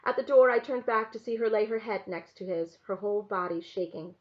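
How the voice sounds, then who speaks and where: A woman reading a first-person story aloud in an expressive narrating style. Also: mid-range voice, brisk, lo-fi sound. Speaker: reader reading a novel aloud to a class.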